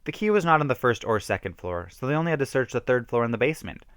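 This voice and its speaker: A man's voice: mid-range voice, very animated, fast, fairly bright tone, clear, resonant tone, dry recording, studio quality. A man giving an emphatic, theatrical reading of a horror story, stumbling now and then. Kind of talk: dramatic reading of a self-written horror story.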